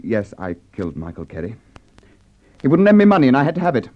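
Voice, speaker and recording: An actor playing a guilty, nervous brother-in-law and murderer in an old radio crime drama, agitated and emphatic. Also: male voice, mid-range voice, wildly swooping pitch, fast talker. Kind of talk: 1950s radio drama acting. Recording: dull old radio recording.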